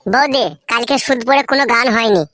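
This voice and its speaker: A man voicing an earnest, cautious village neighbour in a cartoon, with a pitched-up voice. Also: quick pace, bright, forceful tone, no echo, studio quality. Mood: confiding, serious.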